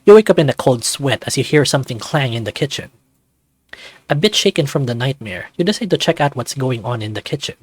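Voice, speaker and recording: A man narrates a visual novel as he plays it, reading the story aloud and adding his own comments. His pitch sits in the middle for a man, and the recording is dry. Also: expressive, fairly quick, no echo.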